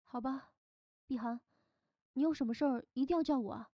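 A woman voice actor playing a caring, bossy young friend with a sharp tongue in an audio drama. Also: high-pitched, fairly quick, dry recording, highs cut off. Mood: worried, caring. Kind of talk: Chinese audio drama acting. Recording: prominent acoustic guitar music behind.

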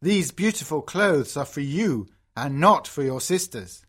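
Caring older storybook dad, voiced by a woman: husky, emphatic, with big swings in pitch. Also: lively intonation, measured pace, a bit bright, full character voice. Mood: warning, firm. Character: happy, caring older father of a fairy-tale heroine.

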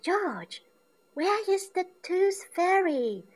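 A woman reading a storybook aloud and voicing a small excitable girl piglet in a high, childlike voice. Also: extremely slow, exaggerated voice. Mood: eager.

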